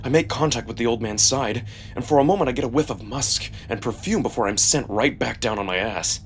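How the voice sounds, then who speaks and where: A male narrator performs dramatic first-person fiction in a mid-pitched voice. His reading is expressive and suspenseful, set over a music bed. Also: a little fast.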